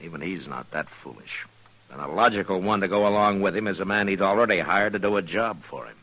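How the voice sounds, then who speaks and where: An American actor playing a stern, principled Old West marshal in a terse, deliberate radio western style. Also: male voice, low voice, a bit bright, rough recording. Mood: grim, resolved. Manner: terse, deliberate, plain-spoken. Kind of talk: 1950s radio western acting. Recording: vintage 1950s radio recording.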